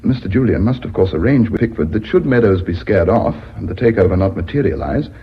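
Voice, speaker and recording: An actor playing a shrewd, assertive businessman in a radio drama, with a husky voice. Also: lowish voice, expressive, fairly quick, exaggerated voice. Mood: assured. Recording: muffled old radio drama recording.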